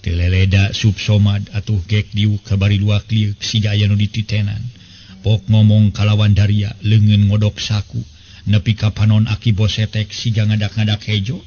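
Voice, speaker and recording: A man's voice: on the low side, monotone, normal speed, dark tone. An elderly man, telling a serial tale in a conversational way. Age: 70s. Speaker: storyteller and show host. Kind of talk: narrated folk serial storytelling. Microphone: close desk microphone. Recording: slightly dull sound with faint noise.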